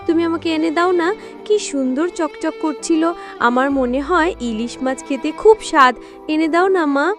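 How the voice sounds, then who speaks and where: Woman doing a high little cartoon girl, begging and wistful, music under her. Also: normal speed, close to an everyday voice. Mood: pleading. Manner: high childlike pleading. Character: poor seven-year-old girl, pleading and wistful.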